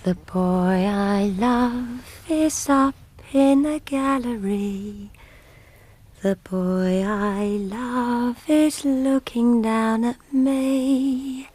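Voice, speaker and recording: A woman playing a wistful music-hall singer in a radio drama, singing an old song slowly. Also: fairly high pitch, darkish tone.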